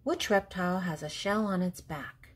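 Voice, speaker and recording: An older woman reading a picture book aloud to young children in a deliberate, teacherly way, posing questions and answering them, with pauses between words. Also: lowish voice.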